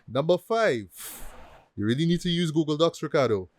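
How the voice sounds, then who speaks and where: A man's voice: a little high, expressive, normal speed, a little mellow, no room sound, clean studio sound. A man hosting a podcast, chatting casually through his picks and often tagging statements with "right?" and "you know". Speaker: podcast host presenting a best-of list. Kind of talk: casual podcast chat about TV shows.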